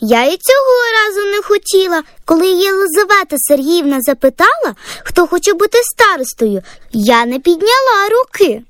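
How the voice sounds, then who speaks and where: A woman voicing an excited, proud young schoolgirl in a children's radio story. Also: high-pitched, a little slow, no echo, studio quality.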